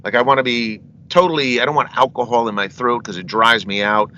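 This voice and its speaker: A man's voice: medium pitch, a little fast, sharp tone, highs cut off, slight background noise. A middle-aged man, a metal singer, telling rambling stories as an interview guest, often asking "you know what I mean" and laughing between anecdotes. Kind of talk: casual storytelling interview.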